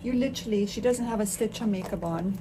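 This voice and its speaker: A woman's voice: medium pitch, quick pace, low-quality recording. Chatty woman from Austria, makeup artist. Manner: chatty, enthusiastic, talks while working with her hands. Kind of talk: casual chat while doing makeup.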